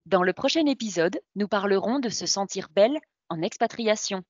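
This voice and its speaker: A woman hosting a podcast and asking measured, scripted interview questions. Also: mid-range voice, animated, dry recording. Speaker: podcast host. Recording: dull lo-fi sound, very dry.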